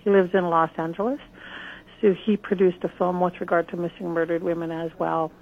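This is a middle-aged woman from northern Canada, speaking as a candidate in a radio interview. Her voice sits slightly low and a bit husky. She talks earnestly and plainly and often restarts her phrases. The sound is narrow and tinny, like a phone call. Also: level tone, fairly quick. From northern British Columbia, Canada. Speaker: political candidate.

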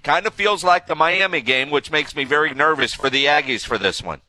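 Bright, forceful American sports radio host, male. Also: on the high side, brisk, no room sound. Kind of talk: casual sports talk radio banter. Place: radio studio.

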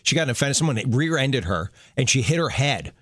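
A man's voice: medium pitch, big pitch swings, fairly quick, fairly bright tone, dry recording. A man co-hosting a radio show, chatting in an easygoing way and chuckling often from the studio. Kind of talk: casual radio chat. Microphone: studio microphone.

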